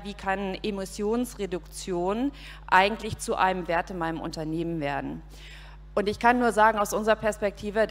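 A woman's voice: mid-range voice, a little hiss or hum. A woman in her forties giving a composed, structured talk on a conference panel with a handheld mic on an outdoor event stage. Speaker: panel speaker, consultancy partner. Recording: reverberant PA sound on a stage.

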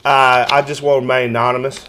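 A man with a medium-pitched voice talks on a phone call. He is polite and folksy as he makes his request. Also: little pitch movement, fast, bright, forceful tone. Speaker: citizen auditor making a records request by phone. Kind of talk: phone conversation.

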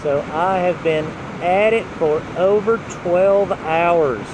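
A man narrating his motorcycle ride from a helmet mic in a relaxed, unhurried way, with wind and engine noise. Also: sits high. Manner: relaxed, unhurried, talks while riding. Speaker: motovlogger. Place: on a motorcycle outdoors. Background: road and wind noise.

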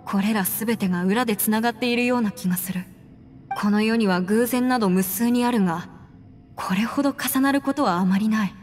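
A woman acting in a Japanese game as a composed, proud young noblewoman adventurer, speaking in a measured, firm and formal way. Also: fairly high pitch, natural voice. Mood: thoughtful, serious. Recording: long reverb, faint music behind.

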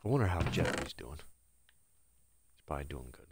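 A man's voice: rumbling low, animated, a little fast, noisy background. A man with a stuffy nose giving upbeat, rambling commentary as he plays a game and talks to his viewers. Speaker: gaming streamer. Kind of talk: casual live gameplay commentary. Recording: game music playing loudly behind. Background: game music.

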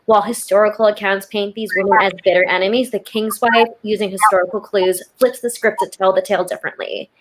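A woman's voice: medium pitch, fairly even intonation, quick pace, hardly any pauses, low-quality recording. Young woman reading a show intro on a call. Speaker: co-host. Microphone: video call with earbuds.

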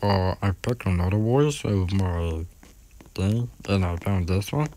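Low-voiced man mumbling through gameplay. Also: a little slow, mellow. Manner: mumbling, rambling commentary with sudden exclamations. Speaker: gaming channel host. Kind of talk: casual gameplay commentary. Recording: heavy background noise.

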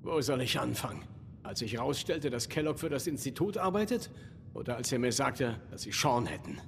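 A man's voice: mid-range voice, lively intonation, normal speed, creaky, long reverb tail, a little hiss or hum. An actor playing a determined father and adventurer in a video game, terse and questioning. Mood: grim. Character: determined father searching for his kidnapped son, terse adventurer.

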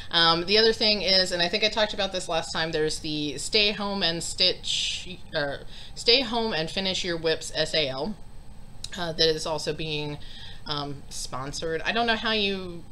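A woman in her thirties talks into a desk webcam in her home craft room, rambling casually with plenty of ums and little laughs. Her voice sits low but has a bright, forward edge, and she sounds a bit congested. A faint hiss of room noise runs under her. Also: measured pace, low-quality recording. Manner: rambling, chatty, frequent ums and self-deprecating laughs. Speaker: cross stitch vlogger. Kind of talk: casual chat to camera.

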